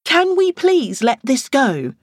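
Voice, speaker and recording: A woman's voice: sits high, big pitch swings, slow pace, dry recording, studio quality, voice pushed up, exaggerated voice. A woman voicing a firm, organised female book club leader in an audiobook, insistent and orderly as she explains. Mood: exasperated.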